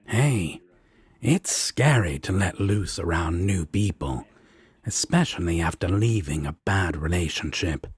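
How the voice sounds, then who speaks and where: A man playing a protective, laid-back devil roommate in an intimate roleplay audio, speaking in a husky, breathy, affectionate drawl. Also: deep voice, animated, a little slow, no room sound, full character voice. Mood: gently reassuring. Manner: drawling, affectionate, casual reassurance.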